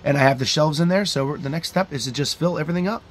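A man in his thirties hosting a casual toy collecting vlog in a relaxed, chatty way with plenty of fillers, filmed at home on a camera held at arm's length. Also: a little high, fast, slight background noise. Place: home toy room, in front of green screen.

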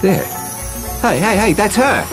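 A man's voice: high-pitched, very animated, a little slow, loud music behind the voice, heavily acted. An actor voicing a cocky, foul-mouthed male mercenary who jokes brashly. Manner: brash, joking.

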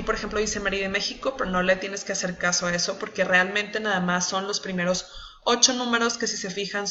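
A young woman with a Mexican Spanish accent guides viewers through an online tutorial at a patient, steady pace. Her voice has a bright, clear edge. The sound is slightly dull and lo-fi, like a built-in laptop mic. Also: medium pitch. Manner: patient, step-by-step explaining with frequent checks like "¿sí?" and "¿ok?". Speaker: nutritionist walking team members through a website. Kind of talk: screen-recorded tutorial.

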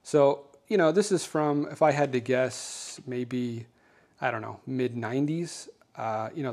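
A man's voice: medium pitch, a little mellow. A man hosting a hobby making show, explaining things in a casual, conversational, unscripted way. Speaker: host of a 3D printing show. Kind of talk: casual hands-on explainer of a homemade design.

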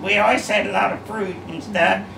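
An elderly woman in her nineties, sitting at home and slowly telling family stories with many pauses and uhs. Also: medium pitch, steady tone, vocal fry. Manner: slow, rambling storytelling with many uhs. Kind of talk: casual reminiscing. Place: living room at home, seated in armchair. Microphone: camera across the room. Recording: echoey room, far camera, background hum.

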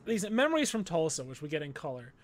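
A man's voice: a little high, very animated, fast talker. A middle-aged man co-hosting a podcast, chatting casually and joking while he recaps, on a studio mic from home. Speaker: podcast co-host. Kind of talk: casual chat. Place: home room, indoors. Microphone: studio mic on a video call.

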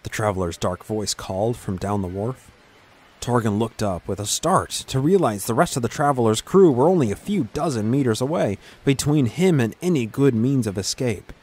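A man's voice: mid-range voice, animated, moderate pace, darkish tone. A man reading a scripted fantasy adventure as a dramatic narrator. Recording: clear background ambient noise.

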